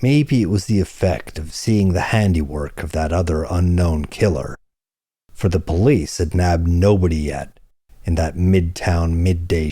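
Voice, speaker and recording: A man reading hardboiled crime fiction as an audiobook narrator, in a measured, deliberate storytelling manner. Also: low-pitched, mellow, breathy edge, dry recording, studio quality.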